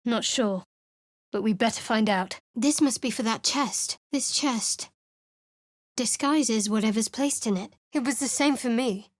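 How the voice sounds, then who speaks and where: A woman's voice: a little high, unhurried, no room sound. A woman voicing a guarded, sardonic young cleric adventurer in a video game, speaking dryly and warily with a cutting edge. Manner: dry, wary, cutting.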